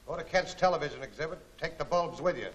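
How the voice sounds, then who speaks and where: Clipped, higher-voiced crook played by a man. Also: fast, muffled recording, natural voice. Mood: commanding. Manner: clipped, matter-of-fact, commanding. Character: cool, scheming crook giving gang orders. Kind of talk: 1940s film serial acting. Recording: hissy 1940s film soundtrack.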